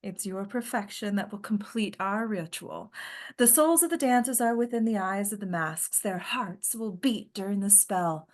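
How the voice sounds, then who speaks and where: A woman playing a sinister ancient madame who leads a cult ritual in a horror script read, with drawn-out, theatrical menace. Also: mid-range voice, lightly acted. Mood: ominous.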